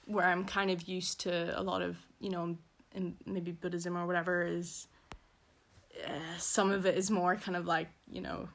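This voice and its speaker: Young woman, Belfast accent, gravelly edge, rambling casual guest. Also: lowish voice, flat delivery, moderate pace. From Northern Ireland. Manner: rambling, many fillers and laughs. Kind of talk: casual conversational interview.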